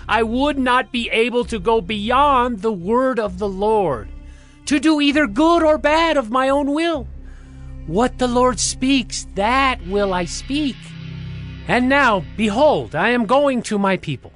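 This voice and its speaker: An actor playing an impassioned male prophet, declaiming solemn oracles in a drawn-out, fervent way for a scripture drama. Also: very high-pitched, lively intonation, fairly bright tone, soft background music, full character voice. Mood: defiant, solemn.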